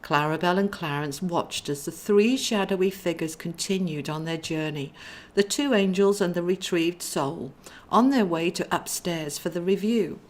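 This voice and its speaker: A woman's voice: lowish voice, normal speed, darkish tone. A woman author reading her own novel as scripted audiobook narration.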